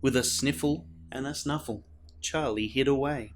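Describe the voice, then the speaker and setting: A man reading a children's picture book aloud as a storyteller, in a theatrical manner with dramatic pauses. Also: medium pitch, slight background noise. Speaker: storyteller, show host.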